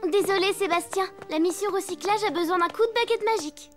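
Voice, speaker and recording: Very high, plucky cartoon girl. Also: quick pace, over loud music. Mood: consoling, resolute. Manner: bossy, encouraging, mission-leader talk. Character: upbeat, determined little elephant girl. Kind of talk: French cartoon dubbing.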